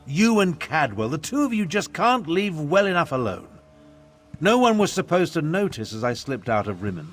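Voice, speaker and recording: Grumpy old wizard from a video game, pompous and dry, bit of music behind. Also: male voice, a little high, big pitch swings, normal speed. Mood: grumpy, dismissive. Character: crotchety, haughty aging human battle mage. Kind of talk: video game character dialogue.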